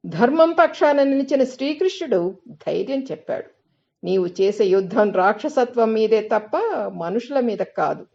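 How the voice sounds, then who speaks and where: A woman reading a scripted spiritual essay as narration, with measured, deliberate phrasing and pauses. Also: fairly high pitch, creaky, darkish tone, muffled recording. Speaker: reader of literary excerpts.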